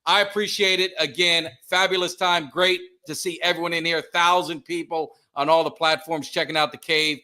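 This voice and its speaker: A man's voice: a little high, fairly even intonation, normal speed, few pauses, bright, forceful tone. A middle-aged man hosting a casual live stream, upbeat and keen to plug his channel. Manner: upbeat, plugs the channel often. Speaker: podcast host.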